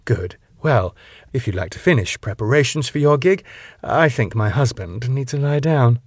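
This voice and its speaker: A man's voice: medium pitch, animated, normal speed, husky, dry recording, clean studio sound. A man voicing a polite, soothing middle-aged woman, the club owner's wife, who talks genteelly.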